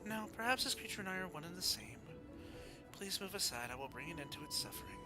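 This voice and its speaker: A man voicing a solemn, compassionate fantasy noble in a formal, grave, measured way for a game story. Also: sits high, very animated, music in the background. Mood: somber.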